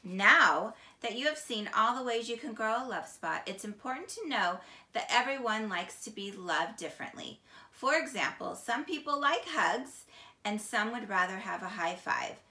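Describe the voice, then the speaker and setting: A middle-aged woman reading a children's picture book aloud in a deliberate, expressive teacher's manner. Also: on the high side. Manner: slow, deliberate, expressive storytelling. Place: small indoor room, seated on couch. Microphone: camera a few feet away. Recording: echoey room.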